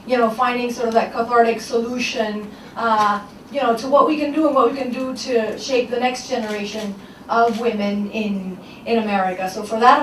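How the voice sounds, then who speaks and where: Young woman, panel moderator, fairly high voice, chatty, laughing, distant mic. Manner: chatty, laughs easily, frequent fillers. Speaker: panel moderator, morning show host. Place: event hall. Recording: echoey hall, far from mic, faint noise.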